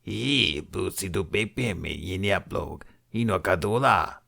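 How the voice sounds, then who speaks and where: A man's voice: sits low, lively intonation, measured pace, creaky, sharp tone, heavily acted. A man voicing a gigantic female alien slug crime lord in an audiobook, imperious and taunting. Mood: surprised.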